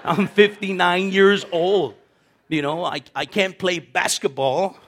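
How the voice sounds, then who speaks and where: A man in his late fifties delivers a sermon, his voice sitting a little high for a man. He tells his own story in a lively way, repeating words, laughing and acting out the voices of family members and his pastor. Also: takes it slowly. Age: 50s. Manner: animated, repeats words, laughs often, acts out quoted voices.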